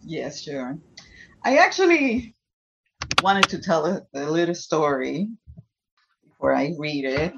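A woman's voice: deep voice, sing-song, slow, creaky, pauses often, a little hiss or hum. Puerto Rican woman poet, dull recording. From Puerto Rico. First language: Spanish. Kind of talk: personal storytelling and poetry reading before an audience.

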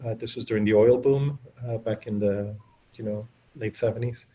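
A man's voice: on the low side, fairly even intonation, normal speed, dark tone. A middle-aged man from Colombia, an author speaking as a guest over a video call, enthusiastic and rambling with many fillers. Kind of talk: casual conversational talk.

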